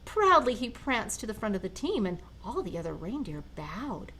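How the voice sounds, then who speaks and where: An older woman, a grandmother, gently reading a children's story aloud and talking to a child. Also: mid-range voice, very animated, a little hiss or hum. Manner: gentle, slow, speaking to a child.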